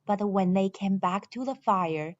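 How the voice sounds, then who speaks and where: A woman narrating a children's story from a script in a deliberate, measured way. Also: medium pitch, lo-fi sound. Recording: music behind the voice. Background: music.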